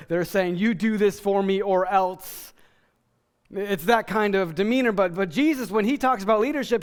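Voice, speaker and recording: A man in his forties preaching a sermon as a pastor, earnest and emphatic with deliberate pauses. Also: high-pitched, smooth. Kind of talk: sermon to a congregation. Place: church stage indoors.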